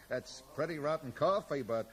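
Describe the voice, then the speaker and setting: An actor playing a kindly, middle-aged American small-town sheriff in a radio drama, speaking in a folksy, hesitant way. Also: male voice, fairly high pitch, lively intonation, unhurried, a bit bright. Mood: hesitant, awkward. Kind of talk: 1980s radio drama acting. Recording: noisy 1980s radio drama recording.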